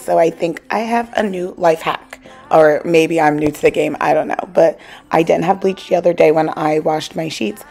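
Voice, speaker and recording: A woman's voice: fairly low pitch, gravelly, dark tone. A woman in her thirties with an American accent, chatting casually and encouragingly to her viewers in a home cleaning vlog. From South Jersey, USA. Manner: chatty, encouraging, with many "like" fillers. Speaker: vlogger, stay-at-home mom. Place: parked car, then home.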